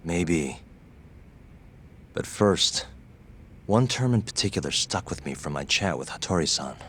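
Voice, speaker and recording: A man playing a calm, thoughtful private detective in an English-language video game, with a measured American delivery. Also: sits low, slight background noise. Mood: pensive. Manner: measured, pensive, understated. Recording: music behind the voice.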